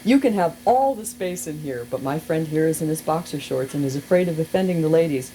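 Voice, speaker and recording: A woman's voice: deep voice, expressive, a little fast, dark tone, voice pushed up, poor audio. A blunt, sardonic male hiker from a humorous memoir, acted out by a woman reader. He speaks out with mock courtesy, like a man making a speech. The homemade cassette adds faint noise. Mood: mock polite. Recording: hissy amateur cassette tape, faint noise.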